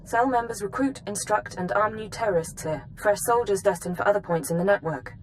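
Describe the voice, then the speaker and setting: A female intelligence officer for a video game, played by an actress, medium in pitch. She briefs in a composed, efficient way, over a faint noise. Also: brisk, lightly acted, low-quality recording. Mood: businesslike. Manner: crisp, businesslike.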